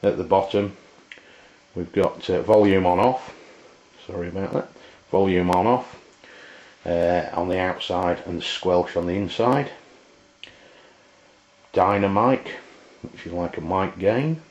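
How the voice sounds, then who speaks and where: A man with an English accent giving an informal, unscripted hands-on product demonstration, halting and often pausing mid-sentence. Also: low-pitched, fairly even intonation, darkish tone. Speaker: product reviewer. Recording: roomy, dull lo-fi camera sound.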